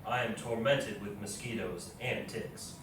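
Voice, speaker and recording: A man's voice: lowish voice, steady tone, measured pace, fairly bright tone. A man playing William Clark, a practical army officer and explorer in his thirties, reading journal entries aloud in a stage reading. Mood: matter-of-fact. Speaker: local stage actor. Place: live readers theatre performance in an echoey indoor space. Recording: very echoey room, distant mic, faint noise.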